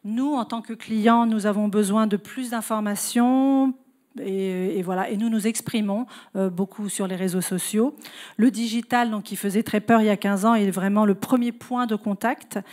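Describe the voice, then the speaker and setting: Woman presenting, halting and hesitant. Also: mid-range voice, steady tone, darkish tone. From Italian-German, grew up in several countries. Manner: hesitant, halting delivery with frequent self-corrections. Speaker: guest speaker, retail consultant. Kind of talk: conference presentation.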